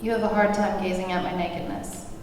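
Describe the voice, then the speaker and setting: A woman's voice: on the low side, level tone, fairly quick, darkish tone, slight background noise, natural voice. A woman playing a solemn, secretive caller at a drama table read, recorded from a distance in an echoey room. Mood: solemn, pleading.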